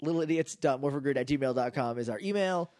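A man co-hosting a casual podcast, chatty and quick to laugh, with a slightly nasal voice. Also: mid-range voice, no echo, studio quality. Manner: chatty, laughs often, animated. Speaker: podcast co-host. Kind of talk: casual chat.

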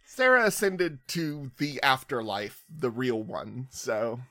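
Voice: mid-range voice, very animated, slow pace, pushed and bright, no room sound. A podcast panelist chatting and joking casually and without formality. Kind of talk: casual joking chat, profane.

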